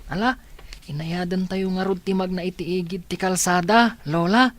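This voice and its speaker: A woman's voice: lowish voice, steady tone, moderate pace, close to an everyday voice. A woman acting as an earnest, protective grandchild in a radio drama. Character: older grandchild, protective young sibling.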